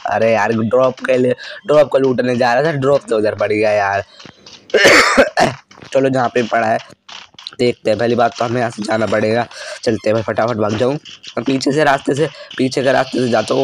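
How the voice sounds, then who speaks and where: A hoarse young man, his throat worn out, talks at a normal middle pitch over a faint hiss of background noise. He narrates his own match in quick, excitable bursts. He keeps clearing his throat between lines. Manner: excitable, frequent throat clearing, lots of "bhai". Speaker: gaming channel host. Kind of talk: live gameplay commentary.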